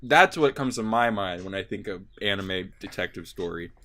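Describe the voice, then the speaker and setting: A man's voice: sits low, expressive, moderate pace, crowd noise. A young man co-hosting a casual anime podcast, bantering in a joking, exaggerating way.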